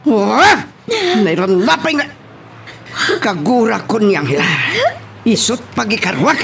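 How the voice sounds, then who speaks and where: An actor playing a bitter, jealous husband, mocking and volatile, with a husky voice in a radio drama. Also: male voice, high voice, very animated, unhurried, a bit bright, a little hiss or hum, full character voice. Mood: mocking, furious. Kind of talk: melodramatic radio drama acting.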